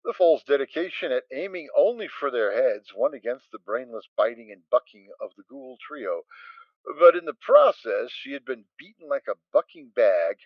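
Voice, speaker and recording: A man's voice: medium pitch, no echo, dull audio. A man narrating a dramatic story as a scripted reading.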